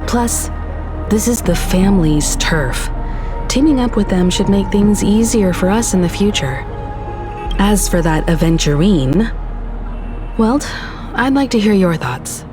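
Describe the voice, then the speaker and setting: A woman's voice: medium pitch, animated, a little slow, long pauses, close to an everyday voice. A woman voicing a composed, mature crew leader in a video game who reasons things through. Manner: composed, thoughtful. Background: music.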